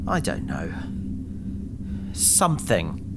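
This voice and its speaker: A woman's voice: a bass voice, big pitch swings, slow, background noise, full character voice. A woman playing an earnest, loyal and anxious spaceship crew member with a polite, emotional manner in a sci-fi audio drama. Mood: disappointed.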